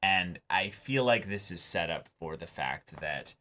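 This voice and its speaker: A young man with a deep, low voice hosts a casual reaction discussion. He works through his points in an analytical, measured way, qualifying them as he goes. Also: expressive, bright, forceful tone, a little hiss or hum. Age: young adult. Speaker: host of an anime reaction show. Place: small indoor room, seated before a backdrop.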